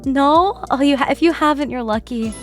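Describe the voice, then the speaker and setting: A woman streamer chatting casually with her viewers, her voice worn from a bad cough, keeping it lowered and laughing often. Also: normal speed, faint music behind. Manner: chatty, rambling with fillers. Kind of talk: casual livestream chat.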